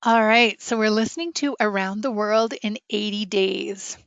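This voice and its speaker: A woman's voice: medium pitch, moderate pace, smooth, dry recording. A woman teaching a casual, interactive online writing lesson, chatty and full of questions for her students. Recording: dull, lo-fi online class audio.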